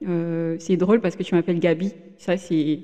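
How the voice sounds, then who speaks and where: A woman's voice: lowish voice, fairly even intonation, moderate pace, dark tone, big-room sound. Hesitant woman in her thirties. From Benin. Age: 30s. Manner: hesitant, many fillers and restarts. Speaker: podcast guest. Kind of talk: casual interview chat. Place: room in a home in Germany.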